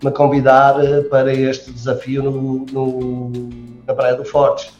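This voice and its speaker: European Portuguese man about 60, flat mellow voice, hesitant, roomy and dull recording. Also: medium pitch, slow, soft background music. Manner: hesitant, many fillers and restarts. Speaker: resort general manager, guest. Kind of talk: interview answers.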